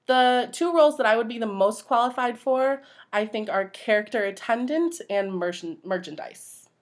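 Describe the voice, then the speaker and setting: A woman's voice: fairly high pitch, moderate pace. A young woman answering interview questions as a job applicant, earnest and a little nervous in manner. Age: young adult.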